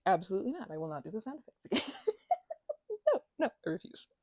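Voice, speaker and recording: A woman's voice: mid-range voice, sing-song, a little mellow, slightly rough, no room sound, studio quality. A woman playing a chatty, playful, bashful young housemate in an ASMR roleplay, rambling and stammering as if in casual conversation. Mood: flustered, playful. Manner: rambling, halting, stammering, giggly asides.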